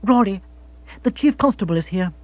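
Man voicing weary upper-class wife, soft resigned tone, dry muffled audio. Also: high-pitched, animated, normal speed, no room sound, voice pushed up.

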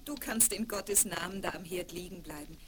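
An actress playing a kindly, caring mother who gently instructs, in a children's fairy-tale radio play on a 1970s vinyl record. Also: fairly low pitch, moderate pace, background noise, natural voice. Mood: caring, calm.

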